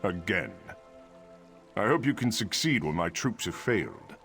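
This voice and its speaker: Gruff-mannered dark elf captain, deep voice that swings around a lot, curt, game music behind. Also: male voice, very animated, unhurried, exaggerated voice. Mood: dismissive, reluctant. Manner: curt, condescending, emphatic. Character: brusque male dark elf guard captain, dutiful, haughty. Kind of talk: video game dialogue acting.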